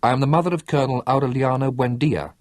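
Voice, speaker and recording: A man's voice: mid-range voice, steady tone, quick pace, no echo, voice pushed up, lightly acted. A man putting on a defiant older mother. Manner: firm, defiant delivery. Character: determined older mother, fearless and stubborn.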